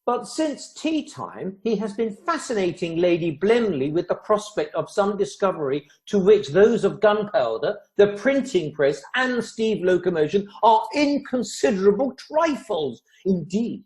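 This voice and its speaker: A man's voice: high-pitched, expressive, moderate pace, few pauses, darkish tone. A man plays a young Edwardian man of society in a radio play. He is mocking and laconic, turning florid phrases with flippant ease. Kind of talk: amateur radio play acting.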